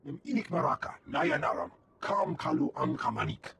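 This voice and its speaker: A man voicing a gravelly alien trader in a video game, speaking an invented tongue. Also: medium pitch, very animated, moderate pace, background noise. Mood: matter-of-fact. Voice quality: gravelly, creaky. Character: guttural male alien trader.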